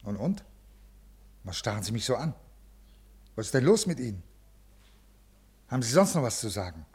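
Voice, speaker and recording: An actor playing a brusque, uneasy senior official with a halting, officious manner in a 1950s radio drama. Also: male voice, mid-range voice, very animated, darkish tone, breathy edge, exaggerated voice. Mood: irritated, defensive. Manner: officious, halting, irritable with subordinates. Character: brusque, uneasy male senior bureaucrat, troubled by conscience. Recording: old 1950s radio recording.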